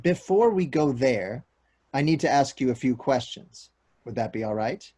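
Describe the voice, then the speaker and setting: Actor as a polite cop, formal and apologetic, medium pitch, stage comedy. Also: male voice, expressive, moderate pace, dark tone, lightly acted. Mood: formal, questioning. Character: polite, earnest, awkward male police detective. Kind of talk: table-read stage comedy acting.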